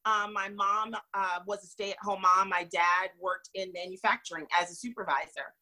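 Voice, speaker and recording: A woman from North Carolina, raised in North Florida, telling her life story as an interview guest in an earnest, expressive way, laughing easily. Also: mid-range voice, moderate pace. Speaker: guest, magazine founder.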